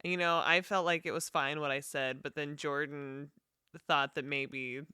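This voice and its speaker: A woman's voice: sits low, steady tone, normal speed, pushed and bright, clear, resonant tone, no echo, clean studio sound. A woman co-hosting a podcast, laid-back and teasing in casual chat with her husband. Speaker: podcast co-host.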